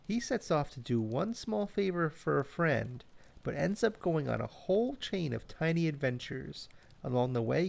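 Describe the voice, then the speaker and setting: Man, children's story narrator, vocal fry, expressive melody, gentle, cozy, scripted. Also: mid-range voice. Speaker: storyteller and host.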